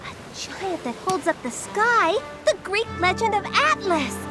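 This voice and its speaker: A confident little girl sleuth from a kids' cartoon. The voice is very high, with an eager, take-charge delivery. Loud music plays behind it. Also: big pitch swings, a little slow, heavily acted, poor audio. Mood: determined. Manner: eager, bossy, brave. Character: plucky, confident young girl fairy-tale detective. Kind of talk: children's cartoon acting.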